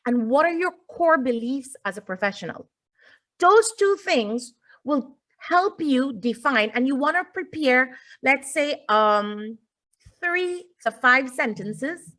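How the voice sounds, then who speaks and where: A woman, a native English speaker, giving an informal online lecture as a teacher, explaining things with deliberate pauses and emphasis. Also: sits high, expressive, fairly bright tone. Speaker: webinar presenter, teacher. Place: indoors, online video call.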